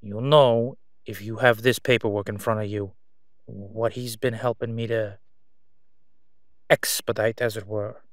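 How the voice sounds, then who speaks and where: A man's voice: on the low side, fairly even intonation, dry recording, clean studio sound, close to an everyday voice. Man playing a sly, sarcastic crime boss. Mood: conspiratorial, smug. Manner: sarcastic, smooth-talking, drawn-out condescending delivery. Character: slick middle-aged male crime boss, mocking, sly, self-assured. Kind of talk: roleplay audio drama monologue.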